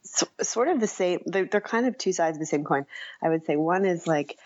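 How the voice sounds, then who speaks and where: A woman's voice: fairly low pitch, expressive, brisk, darkish tone, highs cut off. A woman being interviewed as a writer, speaking casually and reflectively in a hesitant, self-effacing way.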